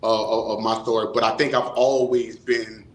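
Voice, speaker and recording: A man giving reflective interview answers, choosing formal words in measured, hesitant phrases. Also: on the low side, flat delivery. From New Orleans, USA. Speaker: nonprofit co-founder, interview guest. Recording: roomy, echoing voice.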